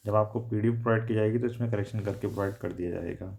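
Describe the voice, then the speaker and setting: A man's voice: fairly low pitch, fairly even intonation, rapid-fire, creaky, mellow. A man teaching a technical lesson, repeating and rephrasing his points and mixing in English terms. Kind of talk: explanatory technical lecture.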